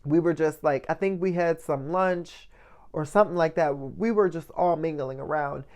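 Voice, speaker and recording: A man with a light, soft voice giving a casual motivational monologue as a vlogger, often checking in with the listener. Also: fairly high pitch, normal speed. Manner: conversational, checks in often with "right?" and "you know".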